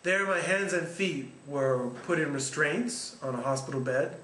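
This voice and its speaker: A man's voice: fairly high pitch, measured pace, pushed and bright. A man in his forties from Canada, talking earnestly and reflectively to camera about his own life, with frequent ums and uhs. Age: 40s. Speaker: vlogger telling his story. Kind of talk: personal talk to camera. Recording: echoey room with faint ambient noise.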